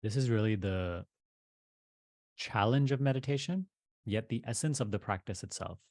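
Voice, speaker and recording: A man with a fairly low voice leads a guided meditation as a teacher. He speaks deliberately, in short phrases set apart by pauses, and the recording is very dry and close, free of room sound. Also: expressive, a little mellow, no room sound.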